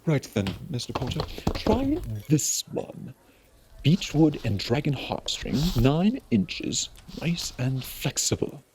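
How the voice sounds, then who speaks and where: A man voicing an eccentric, eerie old wandmaker, soft-spoken and musing, in a theatrical storybook reading. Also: fairly high pitch, sing-song, background noise, voice pushed up, full character voice. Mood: brisk, eager. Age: older. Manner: musing, theatrical, drawn-out phrasing with sudden eager bursts. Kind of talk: dramatic audiobook reading with character voices.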